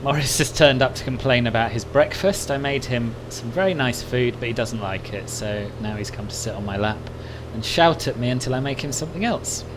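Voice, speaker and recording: A polite, chatty man hosts a casual flight simulator stream. His medium-pitched voice is well articulated and moves between small talk and viewer comments. A clear, steady engine drone sits behind him. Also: brisk, few pauses. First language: English. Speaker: host of a flight simulator livestream. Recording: clear steady background hum.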